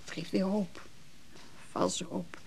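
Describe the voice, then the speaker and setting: Wounded former mistress, radio drama: gravelly creak, hesitant, brooding, faint noise behind her. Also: fairly low pitch, level tone, takes it slowly, darkish tone, exaggerated voice. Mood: resigned. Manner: hesitant, broken phrases, brooding. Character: hurt, nervy woman, disillusioned former mistress. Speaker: actor in a radio play. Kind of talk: naturalistic Dutch radio drama dialogue.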